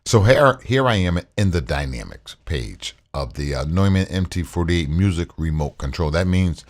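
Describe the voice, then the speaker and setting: Man, baritone, very low voice, easygoing gear-review delivery, close studio mic. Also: moderate pace. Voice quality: deep baritone. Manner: relaxed, repetitive, uses fillers. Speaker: tech reviewer. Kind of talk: casual tutorial with screen share. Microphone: condenser studio mic.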